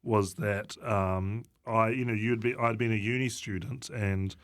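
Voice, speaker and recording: A man with a New Zealand accent and a fairly low voice talks casually as a podcast guest. He is hesitant and halting, with a wry comic touch to his storytelling. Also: fairly even intonation. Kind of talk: casual chat, comic anecdotes.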